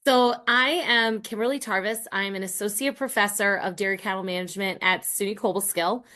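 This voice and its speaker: Female American academic guest, middle-aged, average pitch, informal explanatory talk. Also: fairly even intonation, fairly quick, pushed and bright. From Rhode Island and Massachusetts, United States. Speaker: guest, college professor and team coach. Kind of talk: conversational explanation.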